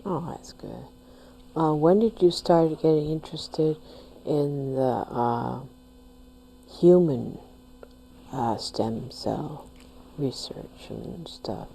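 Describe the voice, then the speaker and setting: Slow, deep-voiced woman hosting a show. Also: gravelly, dark tone, slight background noise, low-quality recording. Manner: slow, simple questions with hesitations. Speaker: talk show host. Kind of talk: interview hosting.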